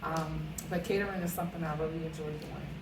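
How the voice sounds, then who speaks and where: Woman guest, caterer, rambling casual talk; lowish voice, roomy, noisy, off-mic recording. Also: fairly even intonation, fast talker, gravelly, distant mic. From Philadelphia. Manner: chatty, rambling, with many fillers. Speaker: caterer and realtor, interview guest. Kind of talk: casual interview conversation. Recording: echoey room, off-mic, noisy.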